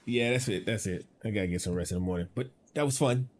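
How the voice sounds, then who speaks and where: A man's voice: fairly low pitch, fast talker. A man streaming a video game and chatting casually as he plays. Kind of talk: casual gaming chat. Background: music and football game audio.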